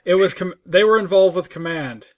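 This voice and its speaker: High-pitched man in casual chat. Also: fairly quick. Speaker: co-host of a gaming discussion podcast.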